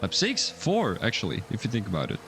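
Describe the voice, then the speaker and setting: A young man giving casual livestream commentary on a sim racing league, chatting loosely and then getting excited when calling incidents. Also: on the low side, a little fast, soft background music. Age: young adult.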